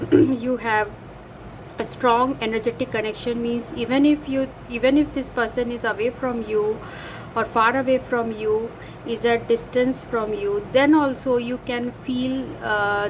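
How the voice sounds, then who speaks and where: A woman with an Indian English accent, whose first language is Hindi, reads cards in a casual, halting way, pausing often to search for words. Her voice sits fairly high and her pace is slow. The recording carries clear background noise. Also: fairly even intonation, rough recording. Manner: hesitant, many uh fillers and self-corrections. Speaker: card reader. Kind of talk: casual card reading to camera.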